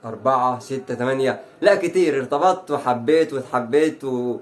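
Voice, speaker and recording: A man's voice: mid-range voice, a little fast. A man in his twenties with an Egyptian Arabic accent, a YouTuber answering fan questions to camera in a chatty, brash way. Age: 20s. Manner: chatty and brash, rattles off lines. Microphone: phone.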